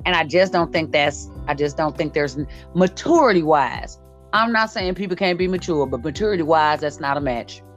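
A woman's voice: low voice, expressive, normal speed. A woman in her late forties hosting a casual conversation, blunt and emphatic, repeating her points for emphasis. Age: late 40s. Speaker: podcast host. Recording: dull lo-fi audio, music behind.

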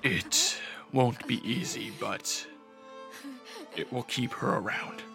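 A man playing a grave, solemn vampire in a radio drama, hushed and halting in delivery. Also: mid-range voice, creaky, over music.

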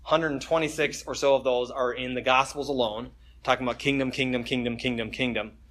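A man's voice: medium pitch, fairly even intonation, fairly quick, a bit bright. A man preaching a conversational sermon at a church service, joking casually and reading scripture with emphasis. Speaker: pastor.

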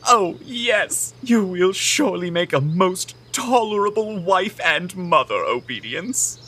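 A woman's voice: lowish voice, lively intonation, slow pace, fairly bright tone, husky, exaggerated voice. A woman playing a pompous, condescending young Puritan male suitor in a comedic audio play, with flowery phrasing. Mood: courting. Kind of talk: comedic audio theater acting.

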